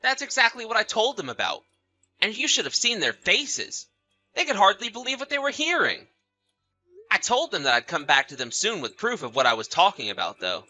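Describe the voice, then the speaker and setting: A man's voice: sits high, wildly swooping pitch, fairly quick, bright, forceful tone, soft background music, heavily acted. A man playing a betrayed husband in an acted audio drama, bitter, sarcastic and accusatory. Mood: vengeful, bitter. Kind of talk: acted audio story dialogue and narration.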